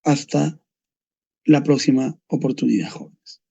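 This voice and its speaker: A man teaches a medical class over a video call, firm and explanatory as he speaks right to his students. His voice is gravelly and mellow, a little husky. The audio comes through dull and lo-fi. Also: medium pitch, unhurried, dry recording. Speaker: doctor teaching a medical course. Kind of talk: online lecture.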